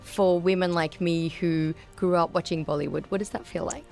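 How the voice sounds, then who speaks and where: Woman hosting a podcast interview, voice a bit on the low side, soft music in back. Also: steady tone, moderate pace, vocal fry. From originally from Afghanistan. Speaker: podcast host interviewing a guest. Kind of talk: conversational interview.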